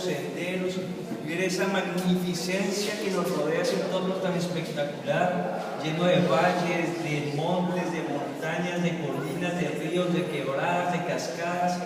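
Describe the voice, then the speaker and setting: A man gives a talk at a public forum, speaking expansively with long lists and frequent restarts. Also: sits high. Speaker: panel speaker. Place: event hall with an audience. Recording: echoey hall, distant mic, audience.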